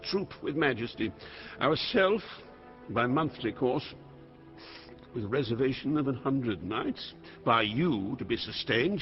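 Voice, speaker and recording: A man's voice: mid-range voice, natural voice. Man acting a wrathful old king, swooping and imperious. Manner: commanding, grandiose declamation. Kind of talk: classical Shakespearean stage acting. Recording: muffled, long hall reverb.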